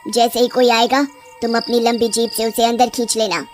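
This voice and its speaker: A woman voicing a gloating, scheming two-headed witch in an animated horror folk story, with drawn-out, menacing delivery. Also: a little high, faint music behind, pitched below the performer's own voice, close to an everyday voice.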